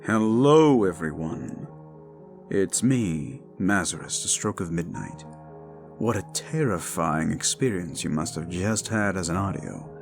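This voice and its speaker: Low-voiced man over music, chatting casually. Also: expressive, measured pace. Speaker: audio roleplay creator and host. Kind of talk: casual outro addressed to listeners.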